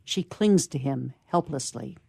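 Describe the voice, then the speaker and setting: Low, creaky woman narrator. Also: expressive, measured pace, warm tone, no echo. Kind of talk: scripted narration of a radio play.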